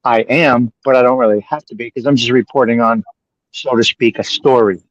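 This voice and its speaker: A man's voice: mid-range voice, a little fast, dry recording, highs cut off. A man hosting a casual talk show who rambles, hedges and repeats himself as he thinks aloud. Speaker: podcast host. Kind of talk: casual conversation.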